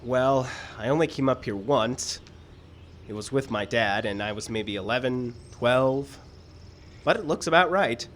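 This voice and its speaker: An actor playing a rueful, hesitant struggling screenwriter in a live radio drama, speaking earnestly. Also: male voice, medium pitch, a little slow, a bit bright, natural voice. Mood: uneasy. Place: live radio show in an outdoor park. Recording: live outdoor stage recording, background noise.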